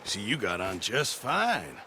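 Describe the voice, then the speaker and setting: A man playing a gruff, jovial, rum-loving camp cook and ex-navy man in a video game, with a folksy, rambling way of talking. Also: fairly low pitch, big pitch swings, slow pace, heavily acted. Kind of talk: video game cutscene acting. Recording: clear outdoor ambient noise.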